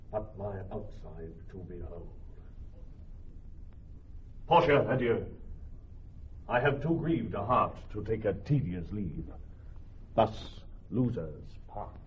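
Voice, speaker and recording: An actor playing a solemn, proud prince with declamatory delivery in a Shakespearean radio drama. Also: male voice, on the low side, a little hiss or hum. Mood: dismayed. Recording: distant, muffled old radio recording.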